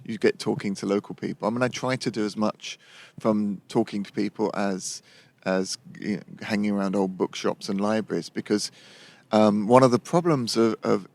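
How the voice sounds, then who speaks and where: A man's voice: fairly low pitch, a little mellow. A man, a novelist chatting in an interview, digressive and full of restarts. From London. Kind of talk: casual interview conversation.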